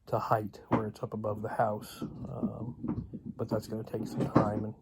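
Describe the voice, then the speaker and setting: A man giving a casual, unscripted vlog update in a halting, rambling way with long pauses. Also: on the low side, completely flat delivery, gravelly, very noisy. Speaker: video blogger.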